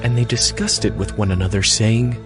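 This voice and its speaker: A man narrating a dramatized scripture reading in a steady, even way. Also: on the low side, fairly quick. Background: music.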